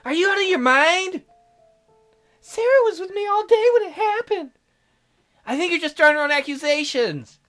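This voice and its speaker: A man's voice: extremely high pitch, lively intonation, normal speed, pauses often, fairly bright tone, faint music behind, pitched above the performer's own voice. A man voicing a bitchy, scornful young woman suspect in a visual novel game.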